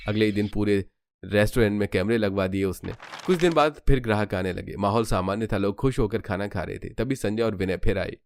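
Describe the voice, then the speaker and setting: A man's voice: fairly low pitch, a little fast. A man narrating a cartoon story in a scripted storytelling style.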